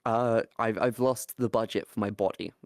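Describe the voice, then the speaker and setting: A man co-hosting a podcast in casual chat, animated and sidetracked, swearing freely. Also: fairly low pitch, moderate pace, no echo, studio quality. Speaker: podcast co-host.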